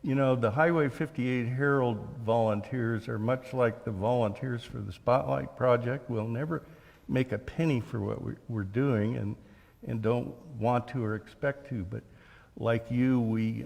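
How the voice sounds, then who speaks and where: A man's voice: mid-range voice, fairly even intonation, unhurried, gravelly, darkish tone. An older man talking conversationally as a guest in a live interview. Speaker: guest, local newspaper editor. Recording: reverberant hall.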